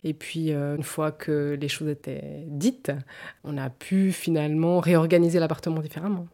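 A woman in her forties with a very low voice talks through her story in an interview. Her tone is warm and mellow, and she speaks thoughtfully and with some hesitation. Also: little pitch movement, moderate pace. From canton of Vaud, French-speaking Switzerland. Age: 40s. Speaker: interview guest. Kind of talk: personal testimony in an interview.

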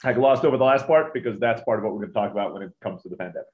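Fast-talking man on an echoey line. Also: fairly low pitch. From Turkey. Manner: easygoing, chatty, self-deprecating. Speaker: guest, business owner. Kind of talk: casual interview talk. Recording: echoey, dull-sounding remote line.

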